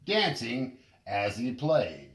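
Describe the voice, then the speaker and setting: Slow, animated man reading a storybook. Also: medium pitch, echoey room. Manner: expressive, deliberate storytelling. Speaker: storybook reader. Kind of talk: animated children's story narration.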